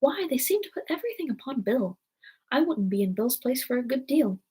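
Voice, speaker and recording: Woman playing high-voiced, wondering little girl Alice. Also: very animated, fairly quick, mellow, slightly rough, exaggerated voice. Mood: musing. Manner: wondering, chatting to herself. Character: thoughtful, self-debating little girl, Alice.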